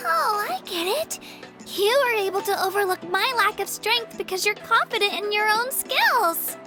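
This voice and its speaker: A woman's voice: extremely high pitch, expressive, normal speed. A woman voicing an earnest, humble young girl who hopes to become an adventurer, in a video game. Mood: admiring, eager. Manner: hesitant, humble, eager. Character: earnest, self-deprecating young girl, eager aspiring adventurer. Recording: background music under the voice.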